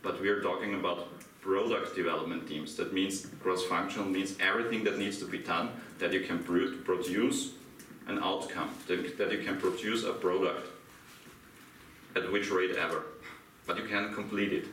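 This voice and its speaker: A man's voice: deep voice, fairly even intonation, moderate pace, fairly bright tone. A middle-aged man from Austria with a German accent, giving an interactive conference talk and questioning his audience. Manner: asks the audience questions, laughs lightly. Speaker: conference speaker. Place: dim hotel conference room, small audience. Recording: echoey room from far off, faint hiss.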